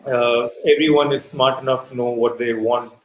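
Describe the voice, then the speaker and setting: A man's voice: medium pitch, fairly even intonation, normal speed. A male filmmaker guest in a casual panel chat, talking freely and acting out quotes as he goes. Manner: chatty, self-correcting, acts out quotes. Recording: echoey room, faint hum.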